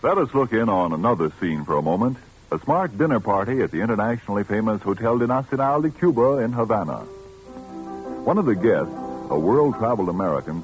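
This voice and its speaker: A man reading a scripted 1940s radio commercial in a polished, salesmanlike manner. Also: on the low side. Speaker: commercial announcer. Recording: muffled 1940s radio recording with music.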